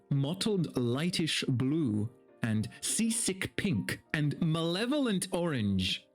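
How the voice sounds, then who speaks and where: A man livestreaming in a playful, expressive way, moving between casual chat and reading aloud to viewers. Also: mid-range voice.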